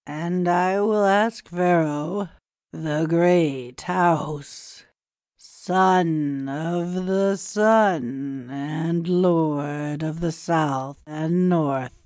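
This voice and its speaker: A woman voicing a pompous, scheming male Egyptian priest in an audiobook, speaking in a grand, confiding, measured manner. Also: on the low side, a little mellow, no echo, studio quality. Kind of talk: audiobook dialogue reading.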